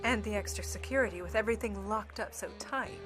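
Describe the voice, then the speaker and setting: An actress playing a haughty, droll 1950s Hollywood starlet with a sly streak in a radio play. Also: female voice, medium pitch, fast talker, over loud music, close to an everyday voice. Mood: coy. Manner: arch, droll, witty.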